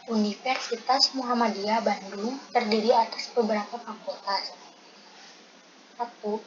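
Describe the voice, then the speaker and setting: A young woman reading out presentation material item by item as a student presenter. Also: a little high. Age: young adult. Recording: dull lo-fi audio with loud noise.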